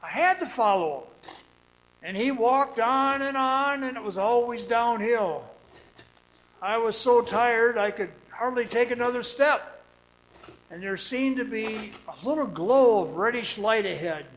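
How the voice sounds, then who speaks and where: A man playing a weary, awestruck man who recounts an eerie ordeal in a radio drama, dramatic, halting and haunted. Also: very high-pitched, fairly bright tone, slight background noise, poor audio. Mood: weary, frightened, then tense wonder. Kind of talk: dramatic radio play narration. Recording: music behind the voice.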